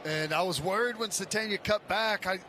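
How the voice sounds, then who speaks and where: A man's voice: high voice, normal speed, sharp tone. An American man giving conversational, explanatory color commentary on a live football radio broadcast. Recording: noisy stadium radio broadcast.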